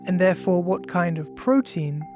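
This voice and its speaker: Audiobook author, androgynous mid-pitched voice, measured science reading, clear music bed underneath. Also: lively intonation, a little mellow. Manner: measured, reads punctuation aloud. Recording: music behind the voice. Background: ambient music with bell-like tones.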